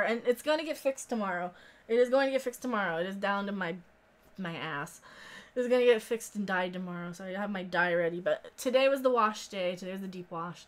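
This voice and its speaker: An American woman in her thirties hosting a live stream, talking in a rambling, informal, sweary way as she answers viewer comments. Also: medium pitch. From central United States. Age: 30s.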